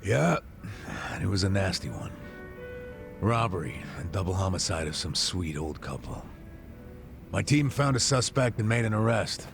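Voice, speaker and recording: A gruff older American ex-cop from a video game, low and gravelly. He talks in a blunt, salty, conversational way that swings widely in pitch, world-weary yet soft-hearted underneath. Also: lively intonation, normal speed, music in the background. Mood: matter-of-fact, gruff. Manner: blunt, casual, salty-tongued. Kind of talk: English video game dub acting, conversational.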